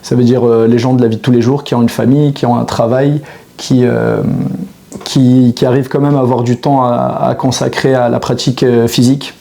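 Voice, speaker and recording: A man in his thirties speaks as a fitness coach in an unscripted monologue. His voice is mellow and relaxed, sitting at an ordinary middle pitch. He circles back on his phrases, pausing and restarting as he works through his ideas. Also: vocal fry. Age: 30s. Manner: hesitant, many restarts and fillers, reflective. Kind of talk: casual monologue to camera.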